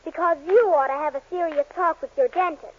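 A tiny, very high Cupid voice. The character is flip and slangy, tossing off brusque wisecracks in a 1940s commercial with muffled old sound. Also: fairly quick. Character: brash, wisecracking, dismissive Cupid in a toothpaste skit. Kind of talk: 1940s radio commercial acting. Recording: muffled 1940s radio recording.

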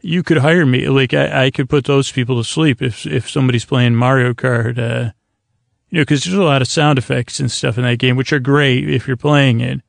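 Male podcast host for sleep listening: heavy vocal fry, dry close sound, wandering, stop-start thoughts. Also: mid-range voice, fairly even intonation, no echo. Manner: halting and digressive, trailing off and circling back. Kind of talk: rambling, meandering bedtime monologue.

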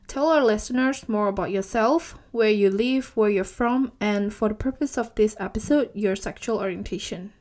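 A woman's voice: mid-range voice, normal speed, few pauses, darkish tone. A woman hosting a podcast interview, giving prepared introductions and questions. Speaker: podcast host.

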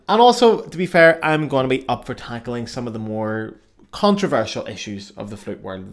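Young male podcast host, Northern Irish Belfast accent, mid-range voice, loose and joking delivery. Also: mid-range voice. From Northern Ireland. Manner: chatty and self-mocking, with frequent fillers. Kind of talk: casual solo podcast monologue.

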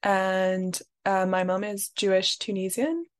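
A woman's voice: mid-range voice, fairly even intonation, slow. Thoughtful young woman. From France, raised in London. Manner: thoughtful, many "like" and "um" fillers. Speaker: guest. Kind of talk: reflective interview talk.

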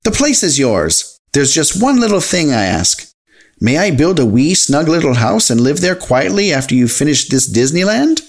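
A man's voice: a little high, very animated, normal speed. A man voicing a proud, grumpy little wee man from a children's storybook, indignant and quaintly old-fashioned in his phrasing. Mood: bargaining.

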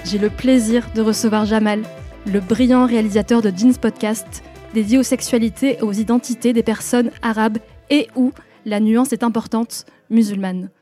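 A woman's voice: fairly high pitch, fairly even intonation, moderate pace, warm tone. A woman from France hosting a podcast, introducing and interviewing in a personal, direct way. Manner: personal, addresses listeners directly. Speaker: podcast host. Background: music.

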